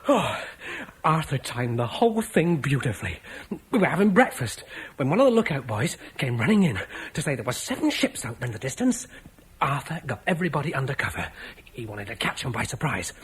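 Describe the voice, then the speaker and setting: A man's voice: mid-range voice, very animated, fairly quick, slight background noise, close to an everyday voice. An actor playing a cheerful, brash young soldier in a classic radio drama, laughing as he tells of a fight. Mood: boastful. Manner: boisterous, laughing storyteller. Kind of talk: 1950s radio drama acting.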